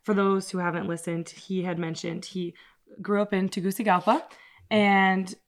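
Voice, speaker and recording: A woman's voice: mid-range voice, little pitch movement, moderate pace. A woman hosting a podcast interview, asking questions and affirming her guests as they speak. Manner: friendly, affirming listener. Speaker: podcast host.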